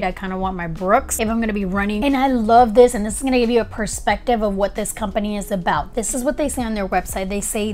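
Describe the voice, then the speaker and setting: A young woman giving a casual, upbeat shoe review from her kitchen, talking to a camera at desk distance. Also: medium pitch. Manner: chatty, upbeat, talks with her hands. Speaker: vlogger reviewing shoes. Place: home kitchen, indoors.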